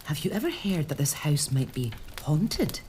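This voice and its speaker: A woman's voice: sits low, sing-song, normal speed, a little mellow, a little hiss or hum. A woman playing a young pregnant noblewoman in a period audio drama, earnest and wry. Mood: hesitant.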